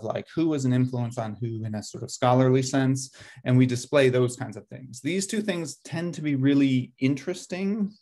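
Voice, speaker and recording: A middle-aged man presents over a video call, his voice sitting at an ordinary middle pitch. He talks in loose, thinking-aloud sentences, often correcting himself and filling gaps with um. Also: a little mellow. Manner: thinking aloud, frequent ums and self-corrections. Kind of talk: presentation over shared slides. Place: indoor room at a desk.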